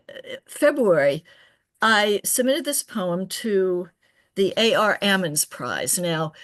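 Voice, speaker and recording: A woman poet chatting casually in a halting, digressive way, often restarting her phrases partway through. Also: on the low side. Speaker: poet at an open reading. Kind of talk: casual, rambling anecdote.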